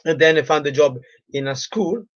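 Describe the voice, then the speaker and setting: Man, sore throat, halting with fillers, slightly high voice, dull stream audio. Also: no room sound. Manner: halting, many fillers, non-native grammar. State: has a cold and sore throat. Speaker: relationship coach. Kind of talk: live-streamed solo talk.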